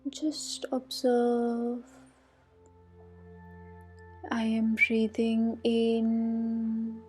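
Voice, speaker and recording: A woman guides a meditation at an extremely slow pace, leaving long pauses between instructions. Her voice sits a little high yet stays mellow and relaxed. The delivery is calm and soothing throughout. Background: soft meditation music.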